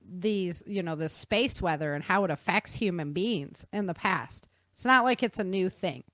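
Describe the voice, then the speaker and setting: A woman's voice: medium pitch, fairly bright tone, no room sound. Informal woman podcaster in a dry setting. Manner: informal, self-described nerdy enthusiast. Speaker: podcast host. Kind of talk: casual explanatory talk.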